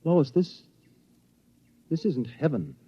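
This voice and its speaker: Gentle young husband, muffled recording. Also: male voice, fairly high pitch, sing-song, slow, breathy edge, heavily acted. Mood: doubtful. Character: gentle, doubtful young husband, survivor of atomic war. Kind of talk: 1950s radio drama acting. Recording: muffled old radio recording.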